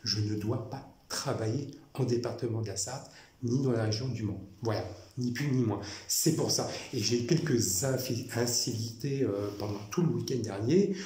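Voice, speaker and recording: A man from France talking in a rambling personal monologue, halting, with many fillers and restarts, repeating himself. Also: medium pitch, warm tone. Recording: echoey room with faint noise.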